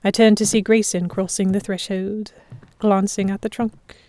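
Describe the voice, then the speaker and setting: A woman playing a stubborn, sharp-tongued captive of pirates, narrating an audio drama in the first person. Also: medium pitch, flat delivery, normal speed, no room sound, natural voice. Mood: puzzled, wary.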